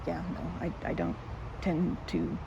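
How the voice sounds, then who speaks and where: A woman's voice: on the low side, very animated, unhurried, gravelly, lo-fi sound, noisy background, heavily acted. A woman playing a timid, socially anxious young woman in an ASMR roleplay, stammering and rambling nervously as she corrects herself. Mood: flustered, bashful. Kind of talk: ASMR roleplay, intimate one-sided romantic dialogue.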